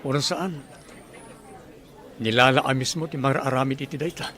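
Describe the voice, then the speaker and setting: A man's voice: mid-range voice, steady tone, moderate pace, sharp tone, close to an everyday voice. A man with an Ilocano accent playing a troubled, confiding father in a radio drama. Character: worried father Jojo.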